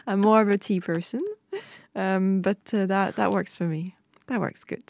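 A woman's voice: medium pitch, fairly even intonation, normal speed, gravelly, darkish tone, no room sound. A woman hosting a radio chat in a studio, asking curious questions in a light, easygoing manner. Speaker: radio host. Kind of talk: casual interview chat.

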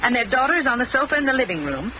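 A middle-aged wife in a vintage radio sitcom. She is patient but fussing, with a high, very animated voice over a dull, faintly noisy recording. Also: very fast. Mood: brisk, hushing. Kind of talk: 1940s radio sitcom acting.

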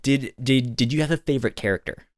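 A man co-hosting a casual podcast chat, speaking haltingly and repeating words as his thoughts form. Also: medium pitch, dry recording, studio quality. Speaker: podcast co-host reviewing an audio drama.

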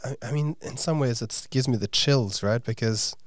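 An earnest male interviewer reacts to his guest's story, often pausing with fillers. His voice sits at an ordinary middle pitch. The sound is dry and close. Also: no room sound. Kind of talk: conversational interview.

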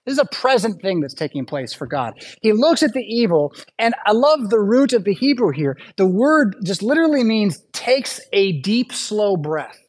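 A male pastor giving a conversational sermon in a church, often checking in with "right?" and adding quick asides. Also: high-pitched, very animated.

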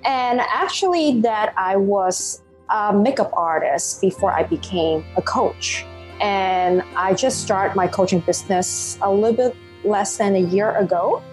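A woman's voice: mid-range voice, unhurried, faint music behind, poor audio. Woman with a Hong Kong accent. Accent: Hong Kong English. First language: Cantonese. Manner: animated, laughs often, non-native phrasing. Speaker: guest, life coach. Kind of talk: podcast interview.

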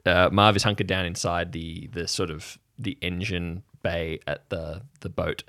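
Low-voiced man narrating as game master. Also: steady tone, a little slow, pushed and bright, dry recording, studio quality. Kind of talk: improvised narration of a role-playing scene.

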